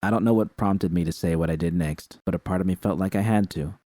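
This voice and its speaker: A man reading a first-person fan story aloud in an even, measured way. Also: low voice, no echo, clean studio sound. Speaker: fanfiction reader.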